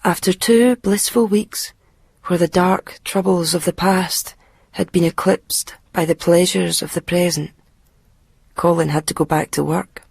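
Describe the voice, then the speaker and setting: A woman's voice: on the low side, steady tone, unhurried, creaky, darkish tone, husky, no room sound, heavily acted. A lovestruck, fragile young Glaswegian woman confides her story in a radio drama. The sound is dry and intimate, and her manner is wistful. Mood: dreamy, confiding. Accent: Scottish, Glasgow. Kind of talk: radio drama monologue and dialogue.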